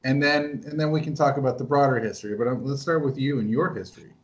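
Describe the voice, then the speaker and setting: A man's voice: medium pitch, fast, low-quality recording. Laid back guy hosting a podcast, chill questions. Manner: relaxed, easygoing questions. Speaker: podcast host. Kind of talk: casual interview.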